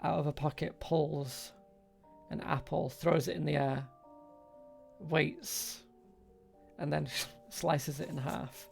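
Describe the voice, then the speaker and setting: Man, game master, fairly high pitch, heavy vocal fry, halting narration, faint music behind. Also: steady tone. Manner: halting, pausing between short phrases. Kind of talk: improvised tabletop game narration.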